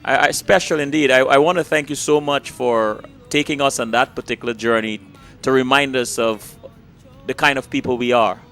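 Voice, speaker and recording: Casting: man, host, mid-pitched, effusive and gracious, faint music under. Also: fairly even intonation, normal speed. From Guyana. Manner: warm, effusive, repeats "and, and". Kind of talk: interview wrap-up.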